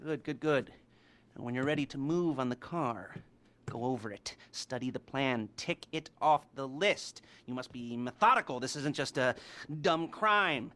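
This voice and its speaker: An actor playing a creepy, awkward middle-aged criminal planner in a video game, smug and stammering with nervous chuckles. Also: male voice, mid-range voice, measured pace, pushed and bright. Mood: methodical, gleeful. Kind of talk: video game cutscene acting, halting improvised-sounding speech.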